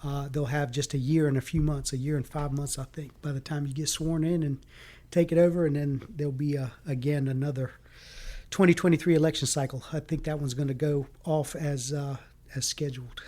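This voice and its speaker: A man raised in the American South whose first language was Greek, talking earnestly in a casual interview, with many halting fillers. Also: on the high side, fairly even intonation, dark tone. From Fayetteville, North Carolina. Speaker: city council candidate.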